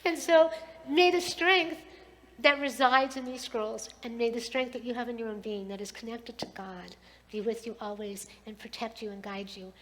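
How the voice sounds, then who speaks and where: A woman's voice: a little high, normal speed, fairly bright tone, rough recording. A middle-aged woman leading a service in a synagogue sanctuary, heartfelt and affectionate as she gives a blessing and a sermon.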